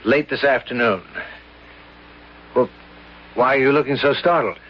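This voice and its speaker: An actor playing a reserved, precise zoology professor in a radio drama, speaking in a measured, hesitant way. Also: male voice, medium pitch, close to an everyday voice. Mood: grave, probing. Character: reserved zoology professor, reluctant believer in ghosts. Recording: dull, faintly noisy old radio broadcast.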